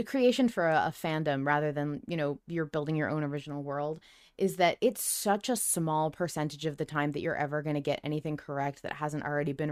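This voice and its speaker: A woman's voice: low-pitched, clean tone. A woman co-hosting a podcast in casual fan chat, talking effusively and emphatically and swearing freely. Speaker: podcast co-host. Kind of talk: casual fan discussion of an audio drama.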